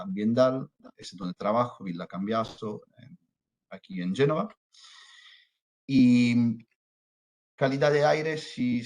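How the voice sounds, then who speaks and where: A man from southern Italy speaking Spanish with an Italian accent, giving a hesitant conference talk over a video call. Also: lowish voice. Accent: Italian, from Salerno, speaking Spanish with Argentine touches. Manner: hesitant, many fillers and restarts. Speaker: researcher and lecturer presenting.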